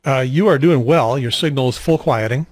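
Older male net host, mid-pitched, conversational technical explainer, dry close recording. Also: moderate pace, no echo. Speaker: amateur radio net host. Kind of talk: conversational technical explanation over ham radio. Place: small home radio room, indoors. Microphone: handheld radio mic held at mouth, boom mic nearby.

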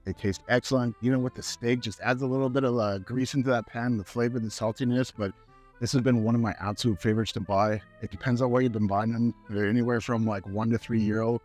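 Canadian guy in his 30s chatting thru a grocery store, mid-pitch voice, lapel mic, faint music under it. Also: fairly even intonation, fast, a little mellow. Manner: chatty, upbeat, talks to camera with fillers. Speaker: fitness vlogger. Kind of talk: casual walk-through vlog.

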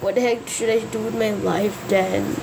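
A woman as a glum, low-pitched little kid. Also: steady tone, moderate pace, vocal fry, natural voice. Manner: glum, muttering. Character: gloomy, depressed little kid, wary and grumbling. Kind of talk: amateur cartoon voice-over. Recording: noisy home recording.

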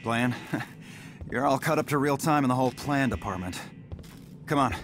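A man's voice: mid-range voice, moderate pace, fairly bright tone, slight background noise, lightly acted. Wry, defiant scientist rebel played by a man. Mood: wry urgency. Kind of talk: cinematic game cutscene acting.

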